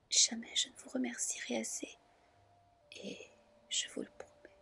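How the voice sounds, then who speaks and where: A woman acts out a young storybook bride-to-be, humble and contrite. She implores in a slow whisper, bright and breathy. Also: a little high, full character voice. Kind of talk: whispered ASMR storybook reading.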